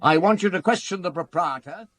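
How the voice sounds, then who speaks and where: An actor playing an impatient police superior in a radio drama, giving orders in a clipped, commanding manner. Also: male voice, sits high, fairly quick, fairly bright tone, no echo.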